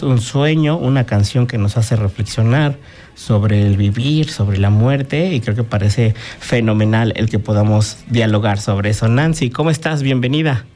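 A man's voice: mid-range voice, mellow. Man, radio host, interviewer, long winding questions, medium voice. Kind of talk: interview hosting.

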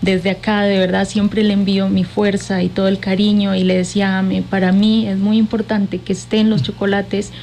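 A woman's voice: mid-range voice, level tone, moderate pace, hardly any pauses, darkish tone, slight background noise. A woman with a Colombian accent speaking effusively and affectionately as a guest in a conversational interview. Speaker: interview guest, cultural manager.

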